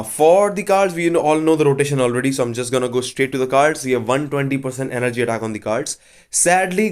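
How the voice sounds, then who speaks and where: A man with a cold hosting a casual game walkthrough, chatty and blunt with offhand verdicts. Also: a little high. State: has a cold. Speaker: gaming channel host.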